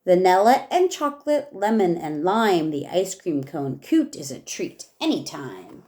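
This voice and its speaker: Lady teacher reading a kids picture book out loud, really slow and measured, little casual asides. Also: fairly low pitch, sing-song. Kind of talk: read-aloud storytelling with casual asides.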